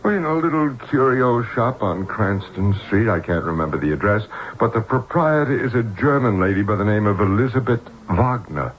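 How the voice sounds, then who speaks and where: Radio drama lead, male actor: jumpy American businessman, lowish pitch, on a hissy, dull vintage recording. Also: lively intonation, normal speed, few pauses, close to an everyday voice. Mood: guarded. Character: jumpy, brisk American businessman, a husband under strain. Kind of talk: old-time radio drama acting. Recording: muffled, noisy old radio broadcast.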